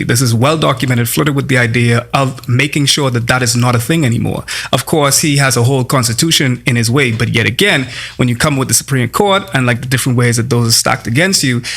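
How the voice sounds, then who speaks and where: A young man speaking as a panel guest in a roundtable discussion, earnest and deliberate with filler pauses. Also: medium pitch, fairly bright tone. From Bahamas.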